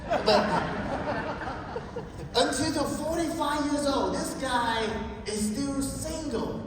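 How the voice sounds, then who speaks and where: A man's voice: very high voice, lively intonation, extremely slow. Man lecturing in a big echoey hall. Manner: joking, storytelling, keeps checking in with the audience. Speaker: sales trainer. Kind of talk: motivational sales training talk. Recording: echoey hall, distant mic, background noise.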